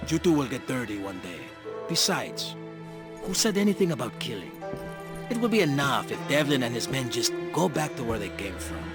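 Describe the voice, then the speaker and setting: A sly, older Mexican bandit leader from a western game. He coaxes and persuades in a gravelly, animated voice of middling pitch, with music underneath. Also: moderate pace. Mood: coaxing. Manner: coaxing, persuasive. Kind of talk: video game cutscene acting. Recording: music behind the voice.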